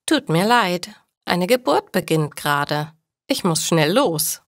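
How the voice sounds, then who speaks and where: Woman playing a kindly young midwife, storybook, earnest, clear words, lively melody. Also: fairly low pitch, takes it slowly, dry recording, clean studio sound, exaggerated voice. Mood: hurried, kind. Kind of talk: audio storybook dialogue for learners.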